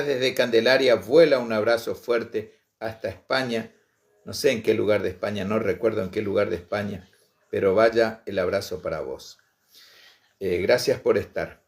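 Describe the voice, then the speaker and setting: A middle-aged man from Misiones, Argentina, telling his life story to online viewers with passion, slowly and with many pauses and fillers. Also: fairly low pitch, vocal fry. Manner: slow, passionate, pausing often with fillers. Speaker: Guaraní language and culture promoter.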